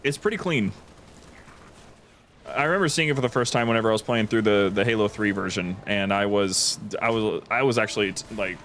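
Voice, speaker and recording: A man's voice: lowish voice, fairly quick, a bit bright, slight background noise. A man hosting a gaming stream with informal, easygoing chat.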